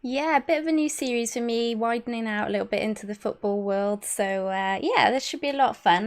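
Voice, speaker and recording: A woman hosting a casual football panel over a video call, upbeat and chatty as she brings in each guest. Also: mid-range voice. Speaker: host.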